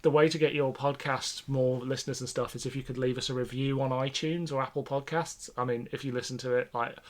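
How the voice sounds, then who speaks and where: A man's voice: mid-range voice, fairly even intonation, fairly quick. A man co-hosting a podcast in casual conversation, informal and thinking aloud as he goes. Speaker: podcast host. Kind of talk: casual discussion.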